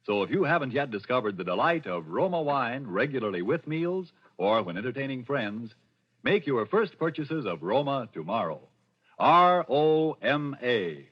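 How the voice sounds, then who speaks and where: An American man announcing a polished, scripted commercial in 1940s radio style. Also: mid-range voice. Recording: muffled old radio broadcast, faint music.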